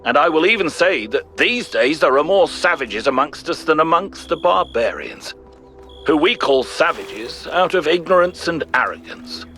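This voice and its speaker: An actor playing a thoughtful, principled ancient Greek philosopher in a video game, giving a grave, measured, rhetorical monologue. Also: male voice, fairly high pitch, lively intonation, pushed and bright. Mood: questioning, pointed. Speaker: narrator of philosophical audio logs. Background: music.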